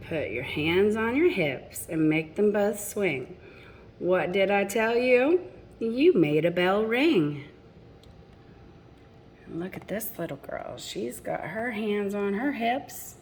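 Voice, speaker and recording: A woman's voice: mid-range voice, expressive, slow, a little mellow, a little hiss or hum. A woman reading a picture book aloud to children expressively, addressing young listeners directly with chatty asides. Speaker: storybook reader.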